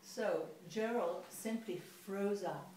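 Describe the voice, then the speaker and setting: Female storyteller, expressive picture-book reading, very roomy and slightly distant sound. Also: mid-range voice, slow. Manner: expressive, playful. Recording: echoey room, distant mic, faint noise.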